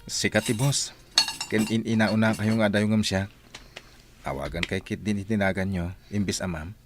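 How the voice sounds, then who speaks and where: Over a noisy background with clinking dishes, a man acts out a polite male worker in a radio drama. His voice sits fairly low, and he speaks with reluctant deference, as a subordinate would to the boss. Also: fairly even intonation, normal speed, close to an everyday voice. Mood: taken aback, hesitant. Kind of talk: Philippine radio drama acting.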